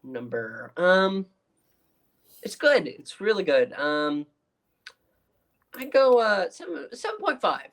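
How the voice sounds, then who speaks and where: A co-host in a casual review chat, speaking haltingly and dropping in joking asides. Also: mid-range voice, big pitch swings. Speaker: podcast co-host.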